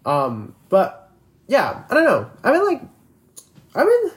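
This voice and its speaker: A man's voice: extremely high pitch, huge pitch swings, takes it slowly. Twenty-year-old male podcast host, rambling and filler-heavy, voice swooping up and down. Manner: rambling, filler-heavy, restarts sentences constantly. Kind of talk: casual solo podcast chat.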